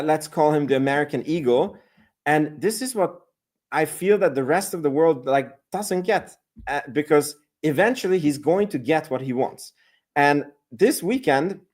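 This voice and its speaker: A man's voice: on the high side, darkish tone. A man from Hungary, hosting a casual livestream and talking loosely with many restarts. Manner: casual, rambling with frequent restarts. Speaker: host.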